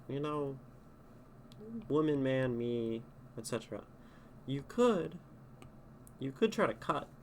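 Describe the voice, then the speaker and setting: A man's voice: medium pitch, creaky, a little hiss or hum. A man critiquing a poem on a live stream, in a casual way, thinking aloud as he rereads lines and tries out edits. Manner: thinking aloud, reading lines back and reworking them. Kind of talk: casual spoken poetry critique. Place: home streaming setup.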